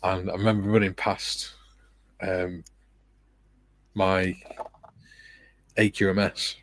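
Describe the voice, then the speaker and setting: A middle-aged man hosting a relaxed livestream, reading viewer comments aloud with a soft, soothing, self-deprecating manner and frequent laughs. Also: low voice, slow, slight background noise. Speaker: livestream host.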